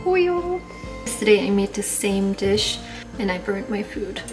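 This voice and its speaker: A woman's voice: mid-range voice, sing-song, a little slow. A young woman from Germany with a light German accent, casually chatting and rambling while she cooks in a hostel kitchen. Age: young adult. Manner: chatty, rambling, apologetic about talking too much. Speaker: vlogger. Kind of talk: casual vlog chat while cooking. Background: background music.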